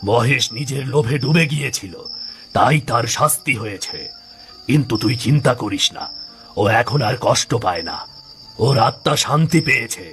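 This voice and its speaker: Cartoon ghost guardian, male actor: righteous, solemn, commanding, heavy vocal creak over music. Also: mid-range voice, animated, moderate pace, fairly bright tone. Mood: solemn, reassuring. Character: stern righteous male ghost, protective friend of the good. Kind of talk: dramatic cartoon voice acting. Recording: music behind the voice.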